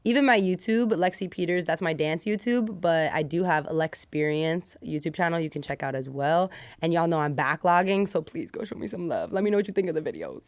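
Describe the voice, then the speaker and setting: A woman's voice: fairly low pitch, expressive, a little fast, no room sound. A woman guest on a podcast, chatting casually and informally with youthful slang. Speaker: podcast guest, content creator. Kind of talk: casual chat.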